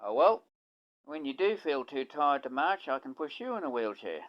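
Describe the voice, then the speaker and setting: A man's voice: on the high side, big pitch swings, moderate pace, dull audio. A man playing a wry, polite young Australian soldier back home from the war in a radio play. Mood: polite, easygoing. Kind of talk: amateur radio play dialogue.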